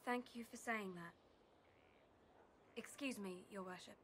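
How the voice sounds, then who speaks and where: A woman's voice: mid-range voice, measured pace, a bit bright, a little hiss or hum. A woman playing an earnest, deferential female healer in a fantasy video game, speaking hesitantly. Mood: sad, polite. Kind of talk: dramatic video game voice acting.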